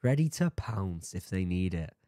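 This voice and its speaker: A man's voice: deep voice, very animated, normal speed, dark tone. A man in his thirties with a sore throat, chatting casually as he reacts to a video at home. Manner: chatty, jokey, laughs at himself. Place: home room with bookshelves. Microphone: studio mic on boom arm, headphones.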